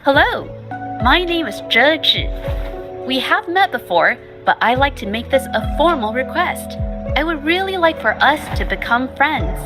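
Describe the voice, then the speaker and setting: Game casting: timid young painter girl, high voice, slow halting delivery, soft and stammering. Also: lively intonation, over music. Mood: shy. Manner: hesitant, stammering, soft-spoken. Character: shy young female painter, timid and hesitant. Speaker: game character.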